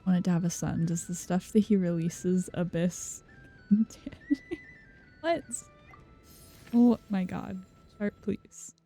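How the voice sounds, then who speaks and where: Slow-talking, creaky woman streamer. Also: on the low side, mellow. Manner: giggly, muttering reactions to the game. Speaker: game streamer. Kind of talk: casual live playthrough commentary. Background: game music.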